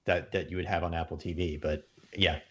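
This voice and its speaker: A man's voice: low voice, steady tone, fairly quick, a bit bright, lo-fi sound. A man discussing technology casually as a podcast panelist.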